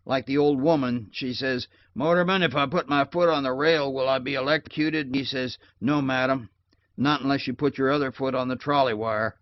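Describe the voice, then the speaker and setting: A man's voice: medium pitch, fairly even intonation, fairly quick, no room sound, natural voice. A man reading an audiobook, voicing a shrewd, drowsy man who speaks in a dry, facetious undertone. The recording is dry and slightly dull.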